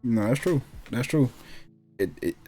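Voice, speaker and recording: A man's voice: medium pitch, lively intonation, a little slow, dark tone, husky, soft background music. A man talking casually as a co-host, slangy and opinionated, repeating phrases for emphasis. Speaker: gaming show co-host. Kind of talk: casual opinionated chat about video game movies.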